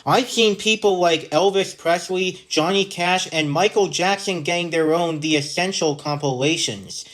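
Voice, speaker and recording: Canadian man reading a measured review. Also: on the high side, fairly bright tone. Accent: general North American. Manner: measured, list-like, reading out notes. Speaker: podcast host and episode reviewer. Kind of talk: scripted review narration.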